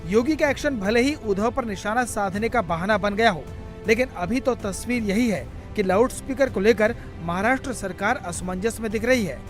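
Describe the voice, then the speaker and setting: A man's voice: sits high, moderate pace, soft background music. A man reading a scripted news report as a voice-over narrator.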